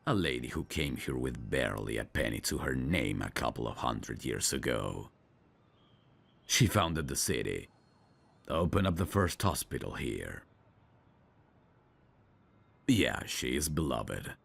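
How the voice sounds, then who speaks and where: A man acts out a gruff veteran police detective in an audio drama, with an extremely low voice full of gravel. He drawls slowly and sardonically, a tough, world-weary cynic. The husky delivery still rises and falls expressively. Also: animated, fairly bright tone, heavily acted. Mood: dry, matter-of-fact. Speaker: detective mentoring a rookie. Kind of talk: scripted roleplay audio drama.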